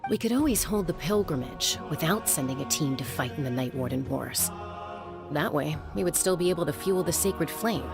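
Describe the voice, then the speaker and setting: Game casting: dutiful male fighter, mid-to-high for a man, earnest, music underneath. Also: expressive, normal speed, creaky. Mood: thoughtful. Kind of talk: video game character acting.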